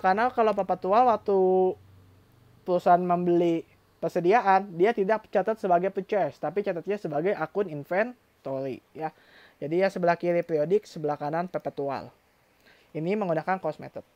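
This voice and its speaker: A woman's voice: lowish voice, darkish tone, no room sound. Indonesian woman tutor walking students thru steps, asks then answers herself, kinda dull dry recording. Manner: addresses learners directly, asks and answers own questions. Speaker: accounting tutor. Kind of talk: informal step-by-step tutorial.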